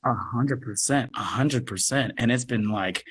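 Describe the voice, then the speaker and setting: A man speaking as an interview guest in a casual, halting way, thinking aloud as he goes. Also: medium pitch, slightly rough. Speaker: guest, audio drama producer and actor.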